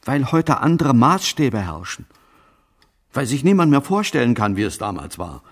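An actor playing an elderly, self-justifying former Nazi policeman in a German radio drama, speaking haltingly and evasively. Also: mid-range voice, big pitch swings, husky. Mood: weary, grave. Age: older, about 80s.

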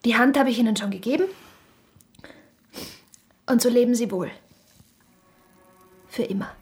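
High-voiced, brusque wounded young woman. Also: unhurried, soft background music. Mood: bitter, grieving. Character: proud young shopkeeper's daughter, brusque yet wounded. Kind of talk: radio drama acting.

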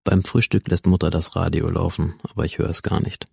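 Radio drama lead: cold, brooding schoolboy monologue, gravelly voice, close dry sound. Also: male voice, deep voice, flat delivery, a little fast, a little mellow, no echo, full character voice. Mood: numb, detached. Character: cold, alienated teenage schoolboy narrating his violent inner world.

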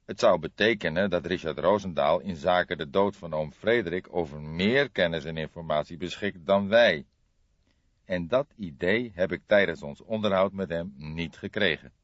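A brooding older police detective played by a male reader in a crime audiobook. He is deep-voiced and weighs his words with formal care. The recording is very dry and a little dull. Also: dry recording. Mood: thoughtful, doubtful. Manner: deliberate, formal, ponderous. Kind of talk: audiobook character dialogue.

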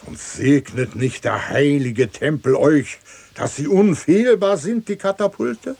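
An actor playing a pompous, greedy old priest in a classical comedy radio play, declaiming with grand rhetoric. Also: male voice, fairly high pitch, slow pace, vocal fry, darkish tone, slightly rough, full character voice. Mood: sly, lecturing. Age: older.

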